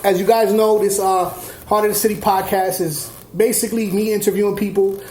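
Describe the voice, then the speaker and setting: A man's voice: high voice, little pitch movement, fairly quick, mellow. A man in his forties hosting a casual podcast interview, slangy and laid-back. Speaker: podcast host. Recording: echoey room with faint noise.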